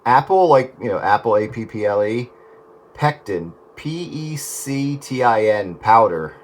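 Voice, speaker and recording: A man with a slightly low voice rambles through casual radio talk, reworking his sentences midway. There is faint noise on the signal. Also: lively intonation, measured pace. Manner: rambling, self-correcting. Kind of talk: casual chat over the radio.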